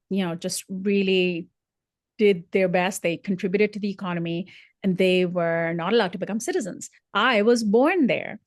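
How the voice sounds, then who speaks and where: A woman who grew up in Dubai talks through an issue in an earnest, thoughtful way, often pausing on fillers and restarting her sentences. Her voice sits in a middle pitch. The sound is dry and close, with no room around it. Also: no echo. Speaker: senior correspondent. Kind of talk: conversational commentary.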